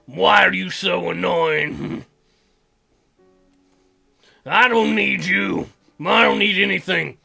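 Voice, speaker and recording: A man voicing a stubborn, hurting young man in a visual novel, slow, halting and dramatic. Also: sits high, gravelly, sharp tone, husky, soft background music, heavily acted. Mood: angry, defiant.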